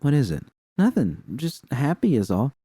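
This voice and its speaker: Man voicing a nervous young woman, lifted pitch. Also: huge pitch swings, moderate pace, warm tone, breathy edge, no echo, clean studio sound. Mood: evasive, light. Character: nervous, hopeful young woman friend working as a doll.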